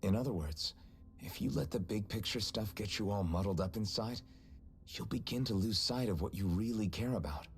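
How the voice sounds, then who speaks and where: An actor voicing a calm, caring young male swordsman hero in a video game cutscene, speaking in a steady, reassuring way. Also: on the low side, normal speed, a little hiss or hum, natural voice.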